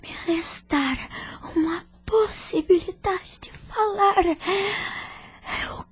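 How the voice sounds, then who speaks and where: A woman playing a gravely ill, repentant older patient in an audio drama, her voice breathless and strained, speaking haltingly. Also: very high voice, no room sound, exaggerated voice. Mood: anguished, pleading. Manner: slow, halting, effortful speech. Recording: music bed behind voice.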